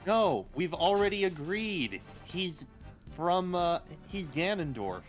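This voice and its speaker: A man with a slightly high voice banters casually on a stream. He explains things in a wry way. Light music plays in the background. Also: very animated, slow pace.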